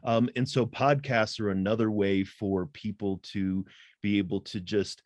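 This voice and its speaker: A middle-aged man talks as a podcast guest, measured and deliberate, often checking in with "right?". His voice is somewhat low. The sound is dry and close. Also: fairly even intonation, darkish tone, no echo. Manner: deliberate, thoughtful, frequent "um" and "right?". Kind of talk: interview conversation.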